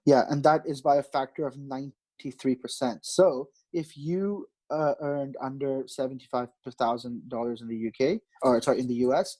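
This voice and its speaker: Mellow-voiced man explaining markets. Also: medium pitch, fairly even intonation, moderate pace, hardly any pauses. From Pakistan. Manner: explanatory, many restarts and fillers. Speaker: market strategist guest. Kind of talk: podcast interview answers.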